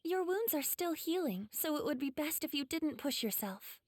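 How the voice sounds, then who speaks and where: A woman playing a composed, gentle young swordswoman healer in an anime game, courteous and unhurried. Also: high voice, lively intonation, dry recording, studio quality. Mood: gentle, coaxing. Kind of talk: English anime game voice acting.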